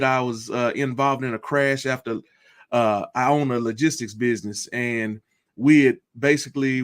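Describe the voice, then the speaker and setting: A man's voice: medium pitch, a bit bright, clear, resonant tone. Earnest man giving personal testimony. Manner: earnest, deliberate, reflective. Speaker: guest telling his recovery story.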